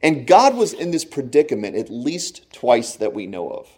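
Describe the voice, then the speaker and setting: A man giving a sermon with a mellow, warm voice. He talks to the congregation in an earnest, conversational way and slows right down to stress the key phrases. Now and then he chuckles at his own jokes. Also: mid-range voice, big pitch swings, breathy edge. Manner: earnest, conversational preaching with slow emphatic phrases. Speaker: pastor.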